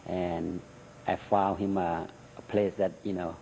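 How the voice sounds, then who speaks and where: A middle-aged man with a Thai accent, Thai his first language, answering interview questions in halting English with many fillers. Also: sits low, level tone, vocal fry, mellow, a little hiss or hum, low-quality recording. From Thailand. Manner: halting, many "uh" and "you know" fillers, laughs between answers. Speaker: interview guest. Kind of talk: recorded interview.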